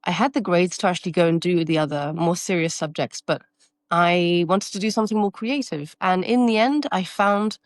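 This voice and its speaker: Soft-spoken woman, dry close mic. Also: fairly low pitch, moderate pace, no echo. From France. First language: Taiwanese. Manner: reflective, with fillers and restarts. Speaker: marketing consultant and guest. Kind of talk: conversational interview answers.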